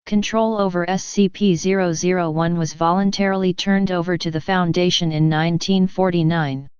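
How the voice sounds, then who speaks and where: A woman with a slightly low, very clean and resonant voice narrates a formal scripted document. Her delivery is measured and impersonal. The recording is close and free of echo, though a little dull and lo-fi. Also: steady tone, a little mellow, clean tone. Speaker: narrator reading a fictional archive document.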